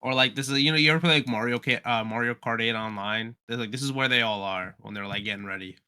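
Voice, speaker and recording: A man in relaxed, informal podcast conversation. His voice is strikingly bright and sharp, at an average male pitch. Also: fast, smooth. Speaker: podcast co-host. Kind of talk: casual chat.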